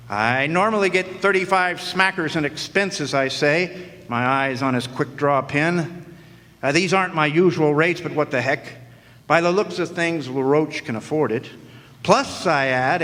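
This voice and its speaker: A man's voice: a little high, a bit bright, close to an everyday voice. A man playing a sardonic hardboiled private eye. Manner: dry, sardonic first-person narration. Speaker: narrating private detective. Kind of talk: 1940s-style noir radio acting. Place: live stage performance in a hall. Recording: long hall reverb.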